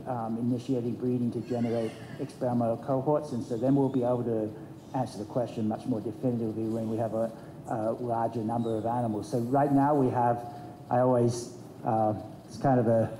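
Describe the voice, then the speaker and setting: A man on a conference panel, explaining research in a measured way with frequent fillers and restarts. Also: medium pitch, dark tone. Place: conference hall. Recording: roomy hall with faint noise.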